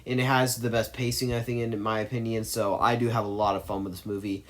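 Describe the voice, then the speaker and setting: A man's voice: on the low side, level tone, fast. Man giving heated, rambling movie opinions. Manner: argumentative, emphatic, rambling. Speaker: movie commentator.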